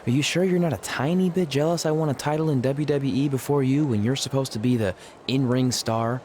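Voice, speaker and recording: A man's voice: mid-range voice, brisk, a little mellow, slight background noise, natural voice. A man playing a cocky, paranoid, goofy young wrestling champion in a video game, boastful and nervously secretive. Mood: cocky, defensive. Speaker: voice actor in a video game cutscene. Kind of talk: comic video game dialogue acting.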